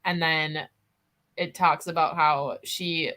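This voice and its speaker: A woman with a bright, forward voice co-hosts an online book chat. She talks informally and loosely, with a giggly streak, as if on a video call with a friend. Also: sits low, steady tone, slow. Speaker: co-host of book discussion live show. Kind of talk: casual livestream book chat. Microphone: video call over internet.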